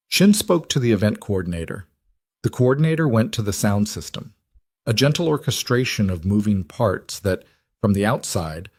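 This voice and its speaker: A man with a fairly low voice narrates a dramatic story. His delivery is measured and deliberate, falling in short clipped phrases. The sound is dry, with almost no room on it. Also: darkish tone, no echo. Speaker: audiobook narrator. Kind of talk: scripted dramatic fiction narration.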